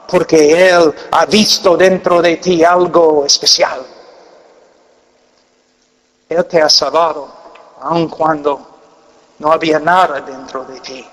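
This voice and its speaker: Earnest preacher in a booming hall. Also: male voice. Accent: American, with Peruvian Spanish learned late. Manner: earnest, emphatic, long pauses, drops to quiet intensity. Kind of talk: sermon. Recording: long hall reverb.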